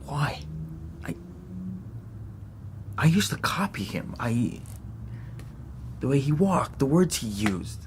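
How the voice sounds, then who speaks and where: An actor playing a defensive, gullible young American graduate student in a radio drama, with halting, stammering speech. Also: male voice, mid-range voice, very animated, slight background noise. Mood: hurt, bewildered. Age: young adult. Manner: halting, stammering when worked up. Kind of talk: naturalistic radio drama acting.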